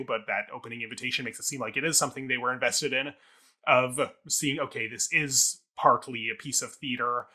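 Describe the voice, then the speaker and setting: A man's voice: mid-range voice, sharp tone, husky. A man co-hosting a casual review talk show, thinking aloud in a wordy, analytical way.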